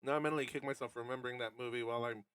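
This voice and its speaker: A man's voice: mid-range voice, fairly even intonation, very fast, fairly bright tone, clean tone, no echo. A man streaming a game, reading aloud and chatting casually in a wry, sweary way, now and then stumbling over his words. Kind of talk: casual read-aloud commentary with asides. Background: guitar music from the game.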